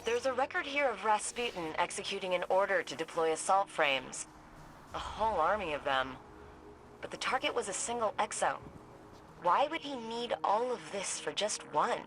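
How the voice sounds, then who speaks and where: A woman's voice: medium pitch, expressive, normal speed, a bit bright, slight background noise, natural voice, low-quality recording. A woman acting an earnest, puzzled young investigator in a video game, thinking aloud in a conversational way as she briefs soldiers. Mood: curious, puzzled.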